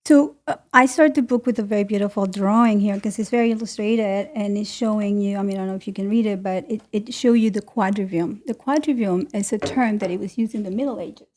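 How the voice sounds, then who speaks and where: A woman's voice: medium pitch, brisk, few pauses, a little mellow. A woman speaking as a podcast guest, explaining ideas informally and discursively with non-native phrasing. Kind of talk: conversational explaining.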